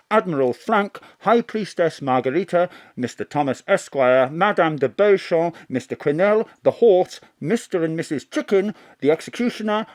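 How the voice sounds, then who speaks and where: A woman's voice: sits low, a little mellow. A woman playing a theatre stage manager, making a formal backstage announcement in an audio drama.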